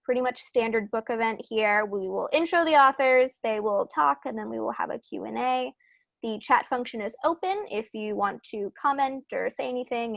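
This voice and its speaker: A woman with a fairly high voice and clear vocal fry hosts an online author event. She sounds informal and matter-of-fact, and the sound is close and dry. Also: fairly bright tone, no room sound. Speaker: bookstore event host. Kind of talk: introducing a virtual author event. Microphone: video call.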